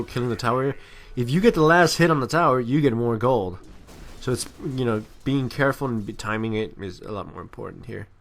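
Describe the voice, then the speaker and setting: A man giving casual, off-the-cuff gameplay commentary, rambling and correcting himself in crude, slangy language. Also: medium pitch. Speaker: gaming video commentator.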